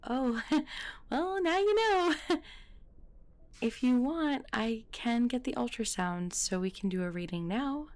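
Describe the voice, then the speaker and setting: Woman playing a high-voiced friendly hospital midwife. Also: animated, slow pace. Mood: cheerful, helpful. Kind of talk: audio roleplay acting.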